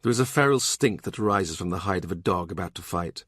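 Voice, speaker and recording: A man narrating a literary historical novel as an audiobook, in a measured, dramatic storytelling manner. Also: low-pitched, animated, a bit bright, husky, no room sound, studio quality.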